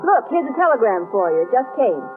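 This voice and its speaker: Clever young wife, high voice, playful, arch, animated, old radio drama, thin band-limited sound. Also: female voice, fairly quick, music in the background. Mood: bright, teasing. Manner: teasing, arch banter. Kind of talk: 1930s-40s radio drama acting. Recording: tinny, noisy old radio recording.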